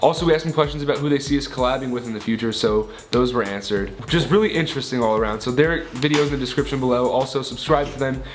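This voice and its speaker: A man's voice: medium pitch, quick pace, hardly any pauses, slight background noise. A young man, hosting a video and chatting casually to viewers. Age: young adult. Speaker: video host.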